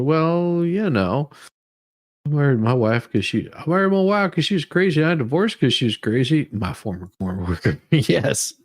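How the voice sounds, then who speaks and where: A man giving casual, off-the-cuff commentary as a streamer, in a halting way that often trails off. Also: mid-range voice. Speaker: live streamer reacting to police footage.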